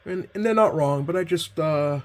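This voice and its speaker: A man's voice: a little high, normal speed. A man giving a casual, hands-on demonstration as he hosts at a radio workbench. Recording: clear background noise.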